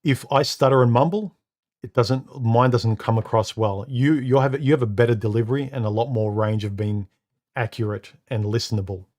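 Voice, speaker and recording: A man with a deep, somewhat muffled voice hosting a live stream from home into a microphone, talking casually and haltingly, repeating words and filling pauses with uh and um. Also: a little mellow. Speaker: live stream host reading comments. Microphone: studio microphone.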